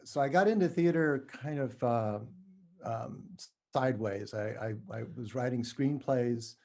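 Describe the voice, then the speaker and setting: A middle-aged man from New Mexico being interviewed, talking casually and haltingly, often correcting himself. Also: mid-range voice, mellow. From New Mexico, United States. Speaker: podcast guest, writer.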